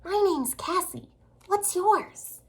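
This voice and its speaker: A woman voicing a friendly, encouraging young girl in a children's storybook, speaking gently. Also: extremely high pitch, animated, takes it slowly, voice pushed up, heavily acted. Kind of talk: storybook character voice.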